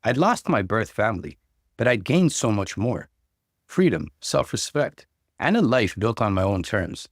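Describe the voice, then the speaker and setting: A man with a fairly low voice narrates in a very animated way, swinging widely in pitch for emphasis. The sound is dry and close, suited to a storytelling host who reads and comments. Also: measured pace, no room sound. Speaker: host reading and commenting on online stories. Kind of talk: scripted narration and conversational commentary.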